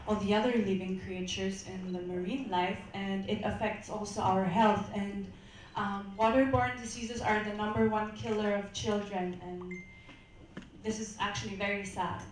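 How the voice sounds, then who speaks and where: Woman in her twenties, pageant contestant, careful halting answers, echoey hall, distant mic, faint room noise. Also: mid-range voice, steady tone. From Austria. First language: German. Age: 20s. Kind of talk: answering judges' questions. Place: hotel event hall.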